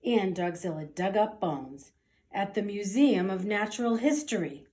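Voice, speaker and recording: Animated woman reading a children's storybook. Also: medium pitch, a little hiss or hum. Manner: dramatic, deliberate. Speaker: storybook reader. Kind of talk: expressive children's read-aloud narration.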